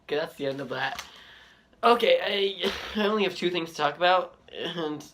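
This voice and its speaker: A man giving casual, unscripted fan commentary as a reaction video host, halting and repeating himself as he goes. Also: a little high, a bit bright, a little hiss or hum.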